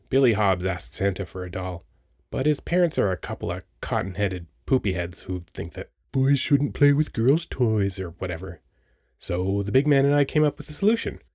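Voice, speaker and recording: A man's voice: fairly low pitch, darkish tone, no room sound, clean studio sound. A man playing a cheerful, flirty Christmas elf toymaker in a romantic audio roleplay, chatty and teasing with the listener. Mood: chatty, earnest. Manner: playful, teasing, bubbly, chatty.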